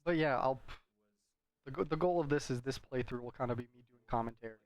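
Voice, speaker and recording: Male gameplay commentator, mid-pitched, conversational and meandering, unsure phrasing, recorded dry. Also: brisk, no room sound. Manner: rambling, conversational, hedging. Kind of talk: casual game commentary.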